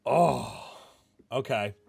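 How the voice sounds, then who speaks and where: A man hosting a gaming channel, chatting casually as he plays and reacts out loud to a story game. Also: mid-range voice, expressive, drawn-out words, gravelly, breathy edge. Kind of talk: casual let's-play chat with narrated visual novel reading. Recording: music playing behind the voice. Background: music.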